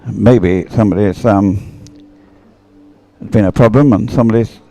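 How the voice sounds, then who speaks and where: A man's voice: lowish voice, measured pace, vocal fry, dark tone, rough recording. A man commentating live on a boat race, hesitant and thinking aloud as he works out what is happening. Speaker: sports commentator.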